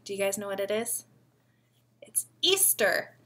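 A young woman reading a picture book aloud to small children like a preschool teacher, expressively and often pausing to ask them questions. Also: mid-range voice, expressive, sharp tone. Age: young adult. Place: living room at home.

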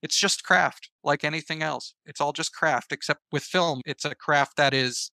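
Bright-voiced man chatting as a guest. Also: a little high, normal speed, creaky, no echo, studio quality. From American Southeast. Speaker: podcast guest, writer and filmmaker. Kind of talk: casual interview chat, giving advice.

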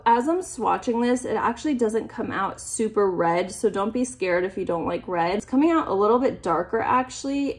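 A young woman vlogger with a slightly nasal voice from a cold, chatting in an upbeat way as she unboxes makeup in her bedroom. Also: mid-range voice. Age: young adult. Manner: chatty and upbeat, often gushing over products. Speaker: beauty vlogger. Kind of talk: casual makeup unboxing chat. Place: bedroom, indoors.

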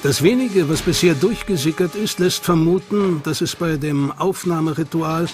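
A man narrating a documentary in a measured, dramatic way. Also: a little high. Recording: narration over loud music.

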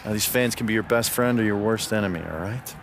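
An actor playing a middle-aged American assistant college basketball coach, chummy and urging, in a game cutscene. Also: male voice, on the low side, fast talker, slight background noise, natural voice. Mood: hyped, urging.

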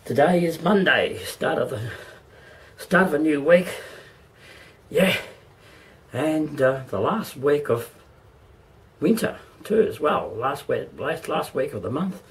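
A middle-aged Australian man with a hoarse voice, chatting casually to camera in a home vlog and laughing often between remarks. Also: fairly high pitch, lively intonation, takes it slowly, long pauses. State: hoarse, losing his voice. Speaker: vlogger. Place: home, indoors and in a backyard. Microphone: camera mic.

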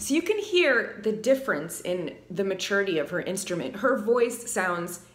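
A woman's voice: medium pitch, sing-song, normal speed. A woman in her thirties, a vocal coach, explaining technique and singing short examples in a living room on a lapel mic. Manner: explanatory, breaks into sung examples. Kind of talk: singing lesson with sung demonstrations. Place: living room with piano, indoors. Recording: roomy echo, faint music.

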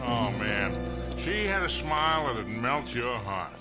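A man playing an older, gruff and folksy rogue treasure hunter with an American accent in a cinematic video game, talking with a colourful storyteller's swagger. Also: medium pitch, sing-song, slow pace. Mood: wistful bragging. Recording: music behind the dialogue.

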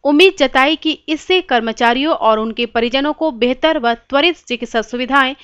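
A woman narrating a scripted news report in a formal, measured reading style. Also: high voice, a bit bright, clear, resonant tone, no echo, highs cut off.

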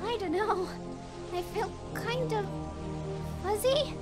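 A woman voicing an earnest, excitable young male skeleton monster, childlike and protective, in a comic dub. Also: very high-pitched, slow, over loud music. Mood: subdued, uneasy.

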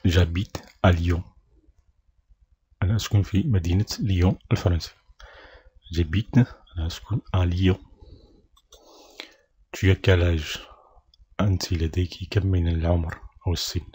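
A man teaching a French dialogue, saying each line slowly and repeating it several times, with short explanations in between. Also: low voice, fairly even intonation, dark tone, slightly rough.

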